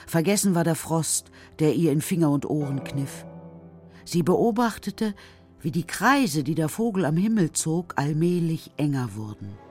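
A woman narrating a children's radio play in a measured, scripted storytelling style. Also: deep voice, expressive, a little mellow, soft background music.

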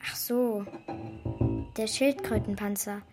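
An actress playing an eager, scheming young girl in a children's radio drama, excitable, insistent and pleading. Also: medium pitch, takes it slowly, music in the background, lightly acted.

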